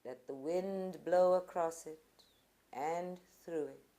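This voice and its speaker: A woman guides a group meditation in a fairly low voice. Her delivery is very slow and deliberate, with short phrases set apart by pauses. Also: warm tone. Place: live group session at a centre.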